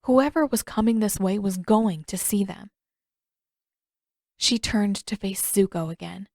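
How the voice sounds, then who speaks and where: A woman's voice: medium pitch, unhurried, a little mellow, dry recording. Woman narrating fiction, very dry sound. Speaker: amateur audiobook narrator.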